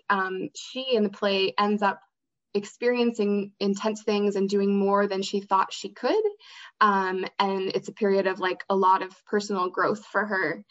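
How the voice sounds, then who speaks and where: A woman's voice: mid-range voice, creaky. A woman chatting casually as an interview guest about acting work. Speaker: interview guest, theatre actor and outreach manager. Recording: slightly dull, lo-fi audio.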